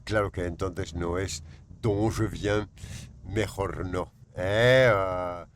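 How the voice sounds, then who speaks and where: A man whose first language is Spanish, teaching a French grammar lesson. He reads the rules slowly, then drifts into quick joking asides. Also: low-pitched, fairly even intonation, background noise.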